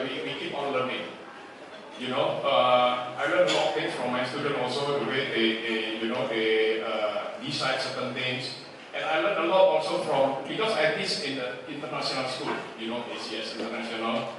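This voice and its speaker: A man's voice: mid-range voice, normal speed. A man from Indonesia, a music teacher, telling stories at a concert in a chatty way, with restarts and many tag questions. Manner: chatty, restarts words, many "you know" tags. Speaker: music teacher and stand-up comic. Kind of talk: stand-up comedy storytelling. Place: concert venue with audience. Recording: echoey room from far off, with noise.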